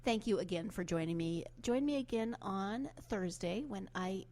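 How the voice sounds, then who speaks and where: Enthusiastic woman podcast host, a bit hoarse. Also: mid-range voice, normal speed. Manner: warm-hearted, enthusiastic, chatty asides. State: hoarse from a cold. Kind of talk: solo podcast outro.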